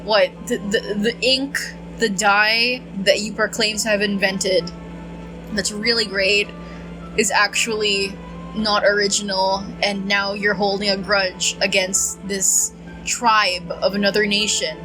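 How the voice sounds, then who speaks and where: A woman streamer giving casual, reactive game commentary, halting and repetitive, exasperated and prone to swearing. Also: medium pitch, little pitch movement, over music, poor audio. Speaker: gaming streamer.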